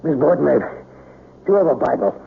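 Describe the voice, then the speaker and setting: A man's voice: a little high, expressive, brisk, gravelly. An actor playing a grim, guilt-stricken man out to avenge his niece in a radio mystery drama, speaking bluntly and colloquially. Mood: commanding, suspicious. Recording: narrow, faintly hissy old radio broadcast.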